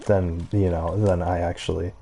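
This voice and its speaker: Male gaming creator, deep and mellow, halting casual ramble, faint noise behind. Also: little pitch movement, gravelly. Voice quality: low-pitched voice. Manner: halting, rambling, trails off and circles back. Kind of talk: casual gameplay commentary, rambling chat.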